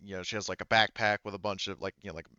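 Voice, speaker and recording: Low, smooth-voiced man with dull audio. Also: flat delivery, racing, pushed and bright, no echo. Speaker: game master. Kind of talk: narrating a tabletop roleplaying game.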